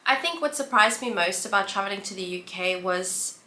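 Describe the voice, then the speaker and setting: A South African woman in her thirties, chatting to camera about her life in a relaxed, reflective way with listing pauses. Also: medium pitch. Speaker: vlogger. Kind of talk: casual sit-down chat to camera. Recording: roomy echo, faint background ambience.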